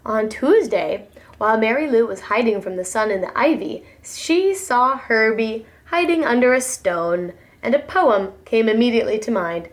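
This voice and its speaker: A woman preschool teacher reading a picture book aloud to young children in an animated, dramatic storytelling manner. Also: on the high side, moderate pace.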